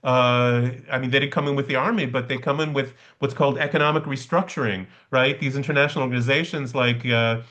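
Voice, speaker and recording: A man's voice: medium pitch, steady tone, fast talker, few pauses, a bit bright. A man leading a study session in a conversational, explanatory way, often checking in with a short questioning tag. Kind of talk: conversational seminar teaching. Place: online class over video call. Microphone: video call.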